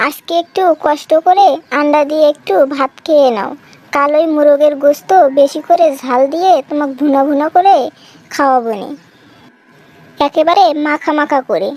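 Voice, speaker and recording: Woman as young wife, cartoon comedy, very high voice, rural Bangladeshi accent, coaxing, chiding. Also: darkish tone. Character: placating young wife, protective of her father. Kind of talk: comic cartoon dialogue.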